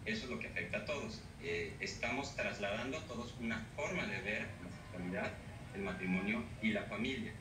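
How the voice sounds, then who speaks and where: A man's voice: fairly low pitch, lively intonation, fairly quick, over music. A man guest on a TV news interview, speaking formally with some hesitant fillers, over a video call from an office. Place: office with bookshelves. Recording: roomy, distant, noisy, played off a TV.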